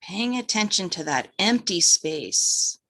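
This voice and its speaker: A woman guiding breathwork and meditation as an instructor, gently giving instructions in short phrases with pauses between them. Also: medium pitch, animated.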